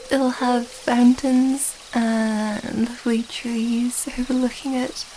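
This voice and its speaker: A woman's voice: a little high, mellow, slight background noise, poor audio. A woman playing an affectionate young princess in love, speaking tenderly and hesitantly in a romantic fantasy ASMR roleplay. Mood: loving, dreamy.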